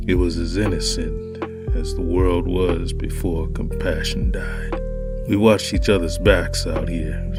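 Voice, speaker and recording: Man doing a homeless man confessing, very deep voice, slow halting delivery, music underneath. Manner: haunted, halting confession that builds to frantic. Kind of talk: dark audio storytelling with music. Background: music score.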